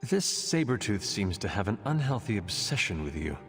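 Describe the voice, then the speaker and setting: An actor playing a calm, earnest hero in a video game cutscene, speaking in a measured, formal way. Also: male voice, fairly low pitch. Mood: concerned, puzzled. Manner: measured, formal, few contractions. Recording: long reverberant hall, music behind.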